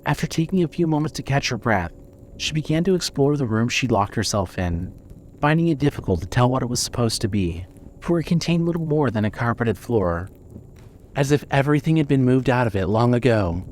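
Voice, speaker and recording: A man's voice: medium pitch, expressive, a little fast, a little mellow, slight background noise. A man narrating a scripted dramatic story for a fiction podcast.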